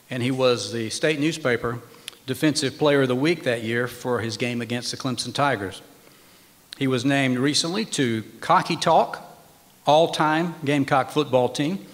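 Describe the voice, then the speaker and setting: A man at a podium microphone leads a formal awards ceremony. He reads the honoree's record in measured phrases and leans hard on the key figures. His voice sits at an average pitch, and a long echo trails it around a big banquet hall. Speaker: master of ceremonies. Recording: long reverb of a large hall.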